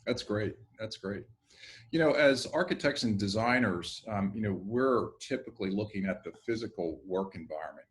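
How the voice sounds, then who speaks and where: Male host, lowish voice, measured delivery with fillers. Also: animated. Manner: measured, uses many fillers. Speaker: interview host, designer. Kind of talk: hosted interview.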